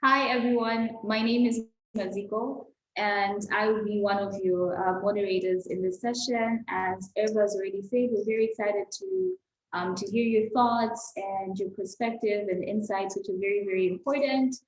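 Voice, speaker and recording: A woman's voice: medium pitch, normal speed, echoey room, a little hiss or hum, poor audio. A woman from Malawi introducing herself as a moderator on a video call, upbeat and encouraging. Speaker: session moderator.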